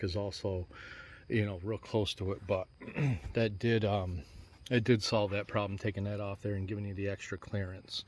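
A man's voice: on the low side, darkish tone. A man casually walking through his truck winch setup outdoors, rambling with many fillers and restarts, filmed on a handheld phone. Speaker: DIY truck vlogger. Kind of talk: casual how-to walkthrough. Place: outdoors on a lawn beside a truck.

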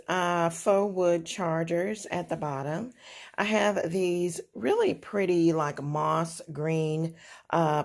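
A woman casually showing off her table decorations, soft-spoken and unhurried, with many ums and uhs, filming on a phone indoors. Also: on the low side. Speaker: home decor vlogger. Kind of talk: casual show-and-tell narration.

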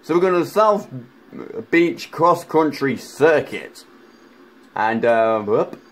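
A man with a croaky voice, chatting excitedly through a racing game as a gaming YouTuber, rambling with fillers. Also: fairly high pitch, slow pace. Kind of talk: casual racing game commentary.

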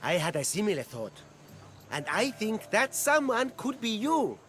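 A man's voice: sits high, very animated, measured pace, a bit bright, slight background noise, exaggerated voice. A middle-aged man playing an earnest, cautious ancient Greek street vendor in a video game, speaking in a cautioning manner. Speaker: non-player game character. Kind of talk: scripted game dialogue.

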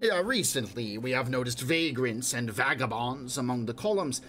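A man voicing a smooth-talking roguish quarry worker who spins evasive explanations, in an audiobook reading. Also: medium pitch, animated, normal speed, fairly bright tone, a little hiss or hum, natural voice. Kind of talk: audiobook character voice.

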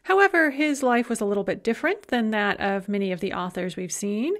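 A woman's voice: medium pitch, brisk. A middle-aged woman giving a recorded university lecture in a conversational way, with frequent filler sounds.

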